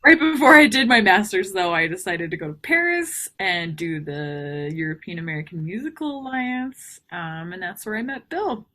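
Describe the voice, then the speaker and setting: A young woman co-hosts a casual podcast with a slight Texas accent and a bright tone. Her voice sits a little low. She chats easily, giggling often and filling pauses with ums. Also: big pitch swings. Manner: chatty, giggly, many ums. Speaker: podcast co-host.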